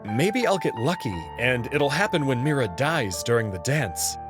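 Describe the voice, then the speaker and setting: A man reading a visual novel aloud in the first person, in an expressive, nervous way. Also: medium pitch, moderate pace. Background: background music.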